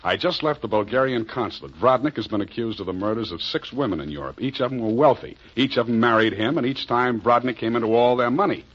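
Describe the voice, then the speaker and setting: An actor playing a wisecracking hard-boiled American private detective in an old-time radio drama, deadpan and wry. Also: male voice, medium pitch, fast, few pauses, close to an everyday voice. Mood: blunt. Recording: muffled vintage radio recording, music behind.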